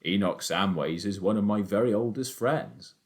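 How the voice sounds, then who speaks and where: Man doing a low, calm father figure. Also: moderate pace, close to an everyday voice. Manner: low, gentle and assured. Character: proud, calm father, a poacher.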